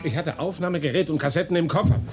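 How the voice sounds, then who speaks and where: A man's voice: fairly high pitch, quick pace, soft background music, natural voice. An actor playing an agitated, suspicious investigative journalist in a German radio drama, insistent and distrustful in his questioning. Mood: agitated, accusing.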